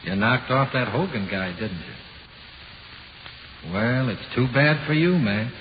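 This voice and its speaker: A man's voice: mid-range voice, expressive, takes it slowly. A man acting in a 1940s radio horror play as the taunting, coldly persuasive ghost of an executed convict, with tough, laconic slang. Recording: muffled, hissy 1940s radio recording.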